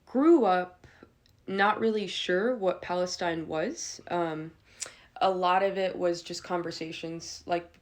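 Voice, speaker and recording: A woman of thirty from San Antonio, the filmmaker, speaking reflectively with hesitations. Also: on the low side. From San Antonio, Texas. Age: 30s. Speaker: filmmaker and interviewer. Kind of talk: documentary interview.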